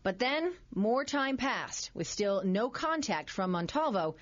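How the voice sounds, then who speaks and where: A woman's voice: medium pitch, expressive, unhurried, dull audio. A middle-aged American woman narrating a scripted television consumer news report.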